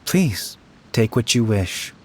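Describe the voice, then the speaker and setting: A man's voice: fairly low pitch, sing-song, slow, a little hiss or hum. A man voicing a courteous, philosophical shrine dweller in a video game, serene and enigmatic, with poetic, old-fashioned formal phrasing. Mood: gracious. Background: faint music.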